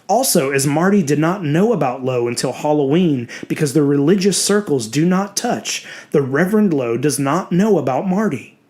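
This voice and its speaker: A man's voice: a little high, expressive, moderate pace, few pauses, a little mellow. A man reading a scripted horror story as a dramatic narrator. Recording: music behind the voice. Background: music.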